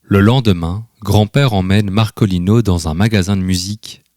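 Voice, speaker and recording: A man's voice: lowish voice, moderate pace, darkish tone. A man reading a scripted children's story as the storyteller. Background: instrumental music.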